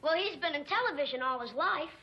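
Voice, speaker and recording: High-voiced, pushy agent. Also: female voice, lively intonation, quick pace, highs cut off. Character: brash, hard-bargaining stage mother and agent. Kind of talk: 1950s television sitcom acting. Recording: very noisy old soundtrack, loud audience.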